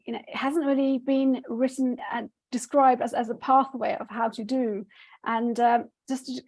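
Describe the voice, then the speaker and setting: A woman from Nepal presents her research over a video call. Her voice sits slightly high and has a gravelly, creaky edge. She talks haltingly, with frequent ums and restarts. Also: darkish tone. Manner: hesitant, many fillers and restarts. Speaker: academic presenter. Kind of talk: conference presentation.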